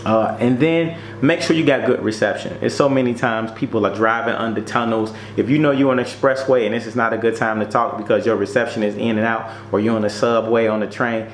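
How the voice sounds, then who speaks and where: A man's voice: mid-range voice, steady tone, brisk, few pauses. Male presenter, informal how-to delivery, emphatic and direct, repeats key points; echoey room with faint background hum. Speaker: presenter giving business tips. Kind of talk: informal how-to talk, numbered tips. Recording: roomy echo, faint noise and hum.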